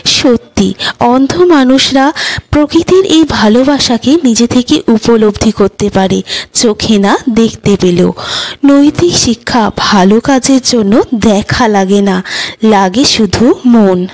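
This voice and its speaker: A woman reading short children's moral stories as scripted narration, in a measured, deliberate storytelling manner. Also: fairly high pitch, darkish tone, rough recording. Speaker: storyteller and channel host.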